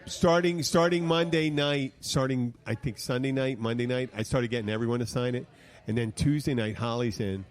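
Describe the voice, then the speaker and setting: A middle-pitched man hosts a relaxed podcast chat. He jokes his way through a rambling story, often stopping and restarting his sentences. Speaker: podcast host. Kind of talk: casual banter. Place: studio podcast room.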